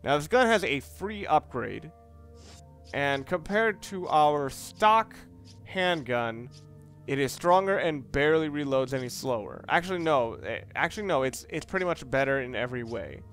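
Lively-pitched man doing casual game commentary. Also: moderate pace. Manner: rambling, self-correcting. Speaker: gaming video host. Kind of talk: casual let's play commentary. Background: game music.

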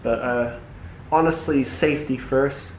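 A man's voice: mid-range voice, steady tone, unhurried, a little mellow. A young man from Hong Kong working as a podiatrist, chatting casually with a patient in an easygoing way. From Hong Kong, moved to New Zealand young. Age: young adult. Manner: easygoing, uses "like" often. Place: indoor treatment room. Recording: roomy echo, clear background noise.

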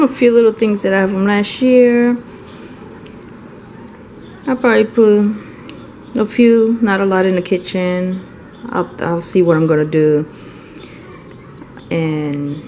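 A woman's voice: mid-range voice, warm tone, slight background noise. A middle-aged woman chatting casually for a home vlog in a loose, rambling way, correcting herself as she goes. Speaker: home vlogger. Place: at home, indoors, kitchen and nearby room.

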